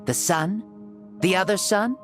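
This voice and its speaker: A man's voice: sits high, unhurried, a bit bright, soft background music. A man voicing an ancient fire god's spirit in a young boy's body in a game, breezy and teasing, turning grand and declaiming.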